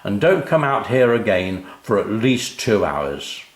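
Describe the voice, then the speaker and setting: A harassed, easily flustered dad from a children's storybook, read by a man. His delivery is animated, swinging widely in pitch. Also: unhurried, reverberant. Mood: cross.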